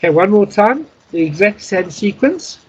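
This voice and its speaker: A man's voice: high-pitched, sing-song, moderate pace, breathy edge, poor audio. A man giving informal, methodical instruction in an online radio practice class. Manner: methodical, explanatory, spelling in phonetic alphabet. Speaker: Morse code instructor. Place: online video call.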